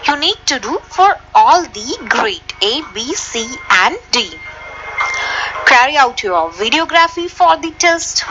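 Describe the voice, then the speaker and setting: A woman's voice: high-pitched, very animated, slow pace, highs cut off, noisy background. A woman giving a step-by-step technical lesson as a voice-over, talking straight to her listeners. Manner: addresses listeners directly, step by step. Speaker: instructor. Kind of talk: slide-based technical lesson. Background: background music.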